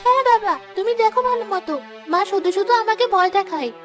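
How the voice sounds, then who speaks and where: A woman puts on a stubborn, playful teenage girl for a cartoon, pleading and childlike. The voice is extremely high, with music behind it. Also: fast talker. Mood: pouting. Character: playful, stubborn fifteen-year-old girl who loves climbing trees.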